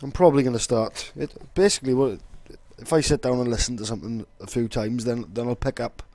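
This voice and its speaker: A young Welsh-accented man explains casually, rambling and swearing, with plenty of fillers. His voice sits at a middling pitch. The recording is dry and close, with no room echo. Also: fast, darkish tone. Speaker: vlogger.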